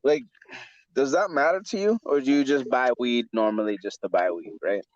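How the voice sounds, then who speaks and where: A man's voice: medium pitch, normal speed, no echo. A man hosting a casual podcast chat, rambling with many stammered restarts and swearing with easy enthusiasm. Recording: dull dry lo-fi recording.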